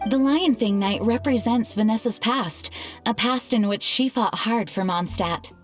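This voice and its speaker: A woman's voice: a little high, measured pace, soft background music, natural voice. A woman playing an earnest, modest young knight commander in a video game, speaking formally and sincerely. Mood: reflective, sincere. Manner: formal, sincere, gently hesitant. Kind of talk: animated video game voice acting.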